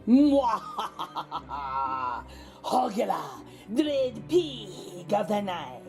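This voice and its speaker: A woman voicing a lonely, grandly spooky male vampire pig with theatrical, formal, drawn-out speech for a children's picture book. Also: medium pitch, very animated, over music, heavily acted. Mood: menacing, pompous.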